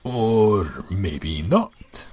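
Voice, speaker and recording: A man's voice: low voice, animated, extremely slow, darkish tone, slight background noise, full character voice. A man playing a teasing, laid-back fighter in an audio drama.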